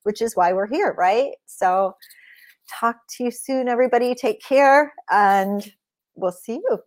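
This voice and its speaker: A woman's voice: on the high side, a little slow. A woman hosting a podcast, chatting with a guest over a video call in an upbeat, affirming way. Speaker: podcast host. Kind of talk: casual interview chat.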